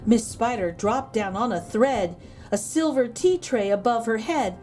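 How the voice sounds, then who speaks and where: A woman reading a children's picture book aloud as a storyteller, with measured, expressive rhyming delivery. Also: fairly high pitch.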